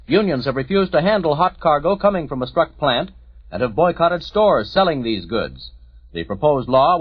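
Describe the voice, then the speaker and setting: Husky man reading 1940s radio news. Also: on the high side, dry recording. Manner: measured, matter-of-fact. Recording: dry, muffled 1940s radio recording.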